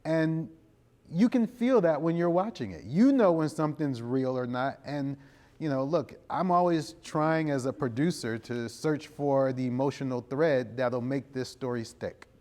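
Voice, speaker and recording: A man's voice: mid-range voice, measured pace, darkish tone. A middle-aged American man answering interview questions conversationally, explaining things and often checking in with his listeners. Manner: explanatory, punctuated with "you know" and "right?" check-ins. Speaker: interview guest, creative director and video producer. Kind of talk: conversational interview answers before a live audience.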